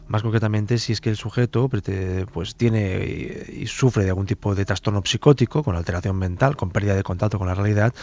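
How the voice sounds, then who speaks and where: A man speaking as a forensic expert in halting, wordy, formal clinical and legal phrasing. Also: lowish voice, a little mellow. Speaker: forensic psychologist, expert guest. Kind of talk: technical expert commentary. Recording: dull, lo-fi remote-sounding audio.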